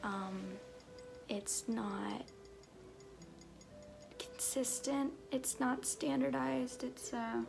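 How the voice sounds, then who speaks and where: A woman playing a flirty, glib circus fool in an ASMR roleplay, half-whispering with halting, offhand, teasing asides. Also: on the high side, vocal fry, over music, full character voice. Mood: glib, reassuring. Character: flirty, glib circus fool and sham fortune-teller, playfully evasive.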